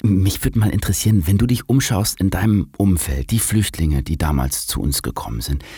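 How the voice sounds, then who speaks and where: A man from Germany hosting a podcast, asking his guest questions in a measured way. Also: sits low, warm tone, slightly rough, no echo, clean studio sound. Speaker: podcast host. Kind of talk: podcast conversation.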